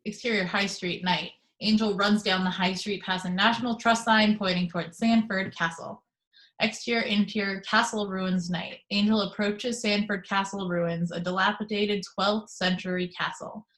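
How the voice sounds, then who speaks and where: A woman reading the action descriptions of a screenplay in a steady, matter-of-fact way on a video call. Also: mid-range voice, normal speed, rough recording.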